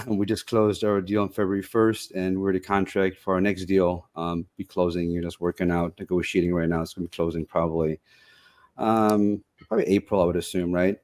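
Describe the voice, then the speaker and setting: A man's voice: low-pitched, level tone, fairly quick, darkish tone. A man talking casually as an investor guest in a podcast interview.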